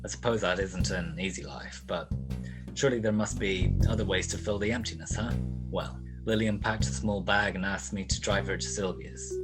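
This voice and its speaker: A man plays a hard-boiled private eye from an old 1940s radio mystery. His voice is very low, and he is dry, probing and world-weary, keeping his words short and clipped over music. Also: steady tone, normal speed, poor audio. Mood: world-weary, reflective. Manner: laconic, clipped questioning. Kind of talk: 1940s radio detective drama acting.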